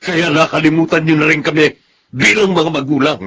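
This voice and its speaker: A man's voice: on the high side, fairly quick, a bit bright, slightly rough. An actor playing a stern, hurt, ailing father in a Tagalog radio drama, speaking in formal, reproachful phrases. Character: aggrieved, stern ailing father of grown children.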